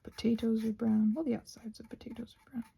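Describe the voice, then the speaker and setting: A woman with a soft, breathy voice, often close to a whisper, musing aloud in a playful, whimsical ASMR ramble as she crafts. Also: fairly high pitch, level tone, fast.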